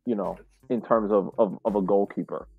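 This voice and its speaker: Phone-like, low-voiced man discussing sports. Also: fairly even intonation, creaky, husky, dry recording, soft background music. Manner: halting, searches for words. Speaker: podcast co-host. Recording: tinny, dry phone-like call audio.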